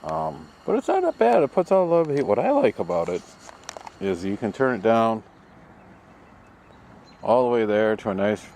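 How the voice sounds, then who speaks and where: A middle-aged man chatting casually and unscripted for a vlog, explaining things in a rambling, halting way. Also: fairly low pitch, very animated, a little mellow. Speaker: camping and ham radio vlogger. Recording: distant mic, background noise.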